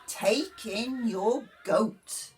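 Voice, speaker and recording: A calm, wise old man of the storybook, played by a woman reader. He speaks very slowly and with measured authority, as if handing out instructions. The voice sits a little away from the microphone. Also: on the high side, distant mic, a little hiss or hum. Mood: calm, commanding.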